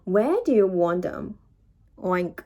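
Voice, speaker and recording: A woman voicing a calm, helpful little pig from a children's storybook, answering matter-of-factly in short lines. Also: on the low side, slow, pitched below the performer's own voice.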